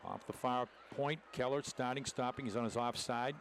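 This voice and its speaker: A man's voice: mid-range voice, steady tone, normal speed. A man calling live hockey play-by-play as a commentator, describing the action in short, clipped phrases. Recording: noisy arena broadcast, rink ambience behind.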